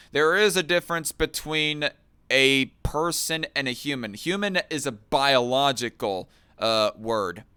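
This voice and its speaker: A man's voice: medium pitch, sharp tone, clean tone. A man hosting a livestream, reacting to a clip and reading chat in a crude, mocking, argumentative way. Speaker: livestream host.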